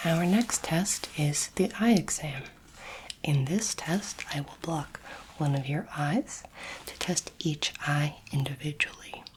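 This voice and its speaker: Whispering woman, soft ASMR doctor roleplay, noisy recording. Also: low voice. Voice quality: breathy, mostly unvoiced whisper. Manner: gentle, reassuring, measured, formally polite. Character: courteous, curious alien space doctor examining a human. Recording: noisy close recording, clicking sounds.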